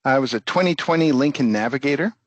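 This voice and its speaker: A man's voice: medium pitch, expressive, fast talker, no echo, highs cut off. A man giving an informal live product demo in the role of presenter.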